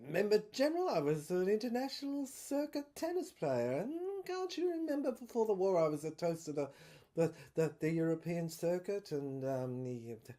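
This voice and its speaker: Woman as a hesitant, rambling genteel gentleman. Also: fairly low pitch, very animated, normal speed. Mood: hesitant, boastful. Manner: hesitant, digressive, self-correcting. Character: rambling, genteel male ex-barrister, nervously deferential yet boastful. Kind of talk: serial audio drama acting.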